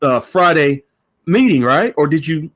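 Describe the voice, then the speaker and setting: A man hosting a casual call-in chat, animated and laughing often, with frequent stammering restarts. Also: on the high side, measured pace. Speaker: host.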